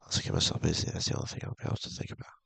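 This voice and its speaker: A man with a gravelly, husky voice casually reading a visual novel aloud, halting and mumbling with offhand asides. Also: pushed and bright, no echo. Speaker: video game streamer.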